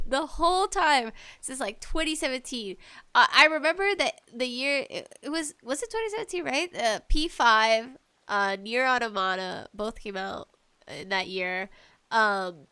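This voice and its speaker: A woman with a bright, smooth voice hosts a casual solo chat. She takes her time and rambles along, putting enthusiastic swings of emphasis on her words. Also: fairly high pitch. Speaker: podcast host talking about video games.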